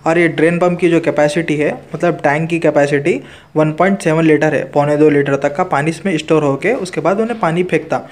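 A man's voice: a little high, steady tone, a little fast. A man, an AC technician walking through a hands-on tutorial in a plain, practical way, filmed on a handheld phone indoors.

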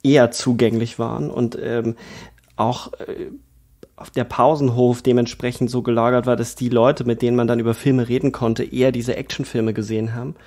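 A man's voice: medium pitch, little pitch movement, moderate pace, darkish tone. A man from Bavaria hosting a film podcast, talking thoughtfully with many fillers and restarts. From Bavaria, Germany. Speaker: podcast host. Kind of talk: casual film discussion.

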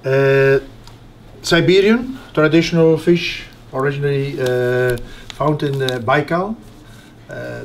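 Middle-aged guy showing caviar tins, lots of uhs, a bit of hiss behind him. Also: mid-range voice. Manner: halting, many uh fillers and restarts. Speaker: caviar producer guide. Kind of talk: explaining on a guided tasting. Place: small tasting room indoors.

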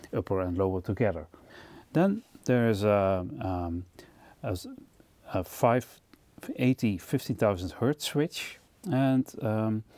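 A man with a fairly low, mellow voice gives an instructional walkthrough of music equipment. His delivery is measured and explanatory. The sound is very dry and close to the microphone. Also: no echo. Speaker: presenter of music gear demo videos. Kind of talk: instructional product overview narration.

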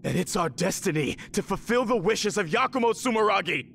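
An actor voicing a stern, fanatical elder brother in a video game, commanding and condescending in dramatic delivery. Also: male voice, high-pitched, animated, brisk, creaky, fairly bright tone, a little hiss or hum, heavily acted. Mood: fervent, forceful. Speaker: villain. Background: dramatic background music.

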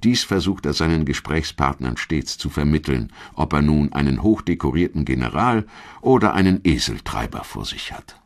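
A man with an exceptionally low voice delivers scripted documentary narration. His storytelling is measured and articulate, with lively, wide-ranging intonation that rises and falls through the sentences.

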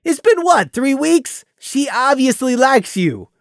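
A storyteller voicing a rowdy young pony stallion who loudly teases his friend. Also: high voice, big pitch swings, takes it slowly, dry recording, studio quality, pitched above the performer's own voice, exaggerated voice. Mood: teasing. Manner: boisterous, teasing, pushy.

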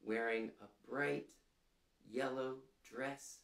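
A man in his thirties hosting a children's storytime, reading aloud and prompting listeners in a gentle, deliberate, clearly phrased way, seated in a small room. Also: mid-range voice, fairly even intonation. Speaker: storytime host reading picture books to children. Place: small furnished room indoors, seated on a couch. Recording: roomy indoor sound.

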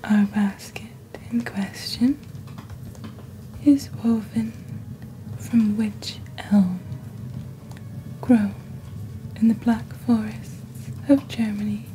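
A mysterious witch, acted by a woman, presents magical wares in calm ASMR narration. The voice is soft, breathy and dark-toned, close to a whisper. Each phrase comes slowly and deliberately, close to the mic, over faint background ambience. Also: mid-range voice, slight background noise, rough recording. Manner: slow, deliberate, hushed, theatrical presenting. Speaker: host of a fantasy shopping channel. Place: small dim indoor set. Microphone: close fluffy-covered studio microphone.

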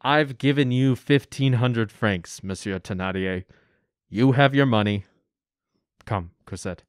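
An actor playing a stern, secretive fugitive in a radio drama, speaking in a firm, terse, commanding way. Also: male voice, medium pitch, a little slow, clear, resonant tone, no room sound, studio quality, close to an everyday voice. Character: stern, secretive, resolute male fugitive protecting a child.

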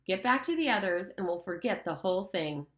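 A woman's voice: medium pitch, lively intonation, fairly quick, close to an everyday voice. A woman reading aloud and voicing a mocking, menacing pig's head that speaks as a beast, in a room with a noticeable echo. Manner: taunting, condescending, menacing. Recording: roomy echo.

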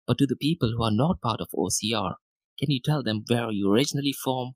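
A man's voice: mid-range voice, fast talker, no echo. A man hosting a podcast interview, enthusiastic and chatty as he asks questions. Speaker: podcast host. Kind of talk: interview host.

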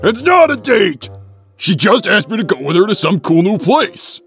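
A man voicing a dense, gruff, easily flustered young echidna warrior in a comic dub, earnest and stammering. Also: sits high, sing-song, normal speed, fairly bright tone, soft background music, heavily acted. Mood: defensive, flustered.

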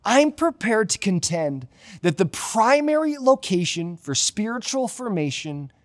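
A man's voice: high-pitched, big pitch swings, a little slow. A man in his thirties with a Canadian accent preaching a sermon as a pastor, emphatic and repeating key phrases for effect. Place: large empty church auditorium.

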